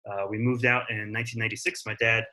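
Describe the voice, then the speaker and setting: A man's voice: fairly low pitch, flat delivery, fast, pushed and bright, low-quality recording. An American man in his thirties teaching an online class, talking in a casual, friendly way with plenty of fillers. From Africa and Italy.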